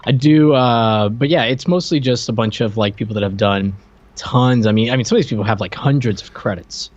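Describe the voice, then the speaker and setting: A man's voice: mid-range voice, brisk. A man co-hosting a podcast, chatting casually, on a slightly muffled recording. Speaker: podcast co-host. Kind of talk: casual chat about cartoons. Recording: slightly dull, lo-fi microphone sound.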